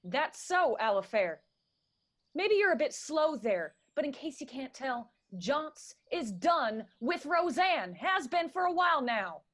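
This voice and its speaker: Actress as brazen young mountain mistress, radio drama; Appalachian accent, slightly high voice, needling and haughty. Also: female voice, sing-song, a little slow, a bit bright, exaggerated voice. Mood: taunting.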